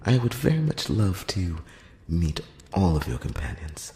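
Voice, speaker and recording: Man playing a very deep, soft-spoken elf prince. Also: big pitch swings, measured pace. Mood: pleased, shy. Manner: soft-spoken, hesitant, formal and courtly. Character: courteous, curious male elf prince and secret emissary. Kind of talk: intimate ASMR fantasy roleplay with whispering. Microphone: close-up microphone. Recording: roomy long reverb, background hiss.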